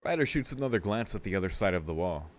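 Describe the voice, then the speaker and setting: A man's voice: deep voice, lively intonation, quick pace, no echo, clean studio sound. A man hosting a let's play, reading a visual novel aloud with informal, amused commentary. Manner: amused, sweary, informal asides.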